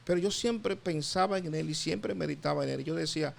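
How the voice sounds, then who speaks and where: A middle-aged Dominican man, a pastor, giving his testimony in an interview, halting often with fillers and repeated words. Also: fairly high pitch, gravelly. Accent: Dominican Spanish. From Dominican Republic.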